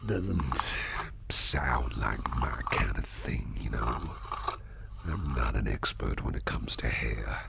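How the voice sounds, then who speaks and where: Casting: male actor as gentle, reassuring boss for ASMR roleplay; breathy whisper, heavy vocal fry, drawn-out delivery, audible noise behind. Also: rumbling low, lively intonation, full character voice. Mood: modest, chatty. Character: kindly, attentive businessman boss soothing a star employee. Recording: clearly audible background noise.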